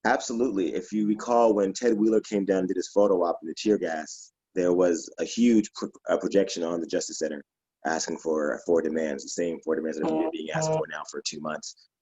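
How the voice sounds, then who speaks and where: A man's voice: deep voice, a little fast, warm tone, husky, no room sound, low-quality recording. A man speaking as a protest organizer on an online panel, matter-of-fact and listing demands with some restarts. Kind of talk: panel conversation. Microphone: video call.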